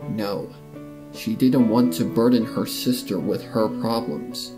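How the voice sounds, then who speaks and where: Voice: mid-range voice, measured pace. A narrator reading a scripted story in the third person, with music playing behind.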